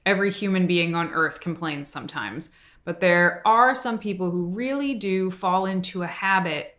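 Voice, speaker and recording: A woman co-hosting a podcast, talking in a casual, chatty way with quick asides. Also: on the low side, pushed and bright. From Boston area. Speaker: podcast co-host.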